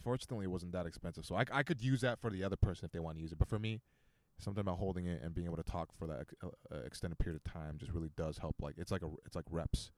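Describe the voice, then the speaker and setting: A young man with a deep voice, guesting on a casual podcast and telling stories with plenty of swearing. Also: rapid-fire, few pauses, no room sound. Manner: animated storytelling, heavy swearing. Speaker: podcast guest and stand-up comic. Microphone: handheld microphone.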